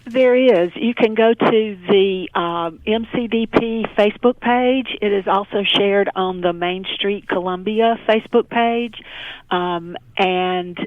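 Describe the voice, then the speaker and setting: Woman, radio interview guest, hesitant with frequent pauses, thin phone-band audio, dry. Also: medium pitch, dry recording, narrow, tinny audio. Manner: hesitant, frequently pausing mid-sentence. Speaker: radio interview guest, local development organisation president. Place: remote call into a radio show.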